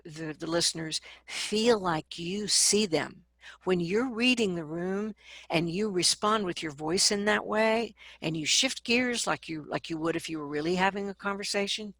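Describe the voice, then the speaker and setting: A woman speaking as a vocal coach in an interview, explaining advice conversationally and acting out examples in an animated manner. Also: lowish voice, no echo.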